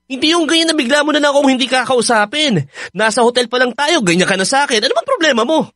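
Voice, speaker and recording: An actor playing a young, evasive and defensive boyfriend in a Filipino radio drama. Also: male voice, very high voice, animated, quick pace, pushed and bright, no echo, studio quality, exaggerated voice. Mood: frustrated. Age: young adult.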